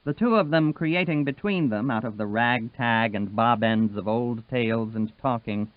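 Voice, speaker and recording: A man reads literary fiction aloud in a dry, narrow, phone-like recording. His pitch is average for a man, and he carries long, flowing sentences with measured phrasing and expressive emphasis. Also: no echo. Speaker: audiobook narrator. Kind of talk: literary audiobook narration, reading a novel aloud. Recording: dry, band-limited, phone-like sound.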